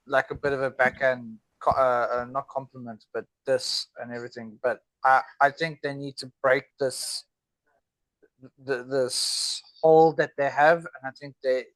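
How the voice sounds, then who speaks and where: A man in his thirties co-hosting a podcast chat, halting and restarting, laughing often. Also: mid-range voice, a bit bright, no room sound, poor audio. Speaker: podcast co-host. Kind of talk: casual chat over a video call. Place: at home in South Africa.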